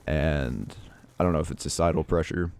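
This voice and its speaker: Very deep, raspy, stuffed-up teenage guy. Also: moderate pace, a little mellow, no echo. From Canada. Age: teens. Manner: hesitant, many restarts and fillers. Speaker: YouTuber. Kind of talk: reflective advice vlog.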